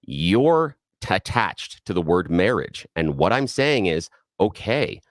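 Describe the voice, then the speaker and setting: A male talk-radio co-host with a very low voice and lively, swinging intonation argues point by point with a caller. His delivery is probing and insistent. He sounds close and dry on a studio microphone. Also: slow pace, no room sound. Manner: probing, argumentative, leads the caller step by step.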